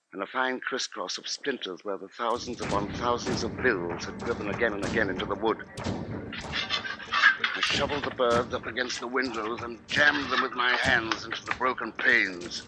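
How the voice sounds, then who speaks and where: An actor playing a grave, weary husband and father, narrating with measured, deliberate drama in a 1950s radio play. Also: medium pitch, very noisy, lightly acted. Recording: 1950s radio broadcast, loud music behind.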